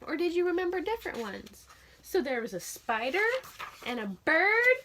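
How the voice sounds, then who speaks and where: Very high-pitched, animated woman teaching children. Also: normal speed, a little hiss or hum. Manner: animated, expressive storytelling; simple, encouraging questions addressed to children. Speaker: teacher reading aloud to young children. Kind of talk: children's read-aloud lesson with questions to the listeners.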